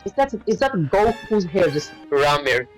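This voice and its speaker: Higher-pitched, incredulous guy over music. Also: very animated, unhurried. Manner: sweary, incredulous. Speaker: game playtester. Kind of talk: casual chat, reacting to a game. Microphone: online voice chat. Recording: low-quality voice call with music.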